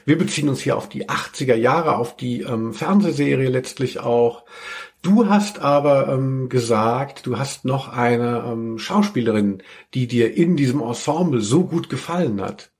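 A man's voice: medium pitch, unhurried, a little mellow. A man hosting a podcast in casual, colloquial chat with a wry manner. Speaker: podcast host.

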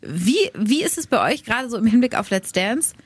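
A woman's voice: a little high, lively intonation, brisk, slightly rough, no echo. Chatty woman in her thirties. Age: 30s. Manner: chatty, self-deprecating. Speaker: radio podcast co-host. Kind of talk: casual chat.

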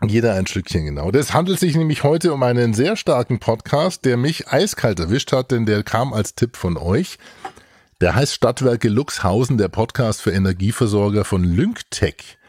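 A man's voice: mid-range voice, expressive, normal speed, darkish tone, slightly rough, no echo. A man hosting a casual podcast chat in a jovial, teasing way. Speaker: podcast host. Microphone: close studio mic with headset.

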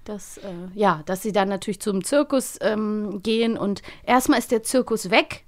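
A woman chatting casually as a podcast host, retelling stories in an amused, animated way. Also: medium pitch, moderate pace. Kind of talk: casual chat, retelling audio drama plots.

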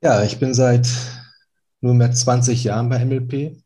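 A man working as a financial advisor, introducing himself slowly and deliberately. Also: medium pitch, steady tone, dark tone.

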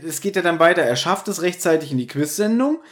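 Higher-voiced man chatting on a podcast. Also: animated, fast. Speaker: podcast host. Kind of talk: casual chat reviewing an audio drama.

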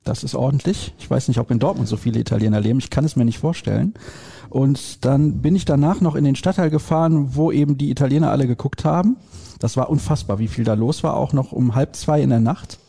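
Gravelly-voiced opinionated German man. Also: medium pitch, fast. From Solingen, Germany. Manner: opinionated, animated, rhetorical questions. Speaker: podcast host. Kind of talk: casual football podcast chat.

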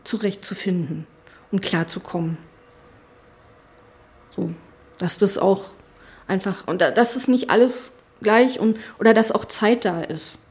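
Middle-aged woman, politician, interview talk, halting, thinking aloud, average pitch. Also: a little mellow. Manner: thoughtful, halting, many fillers and restarts. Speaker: politician, spokesperson for family and education. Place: her constituency office.

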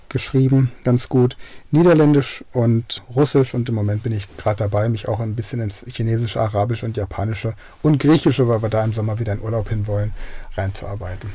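A middle-aged man from Germany talks eagerly about his work, sliding easily into anecdotes. His voice is dark and mellow at a medium pitch. He sounds relaxed and at ease. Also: dry recording. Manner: enthusiastic, anecdotal. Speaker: podcast host and learning coach. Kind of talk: podcast intro and radio interview.

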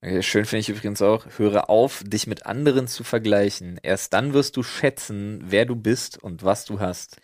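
A man's voice: fairly low pitch, normal speed, hardly any pauses, no room sound, studio quality. A man chatting casually as a podcast co-host, blunt and opinionated, with slangy wording. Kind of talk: casual chat.

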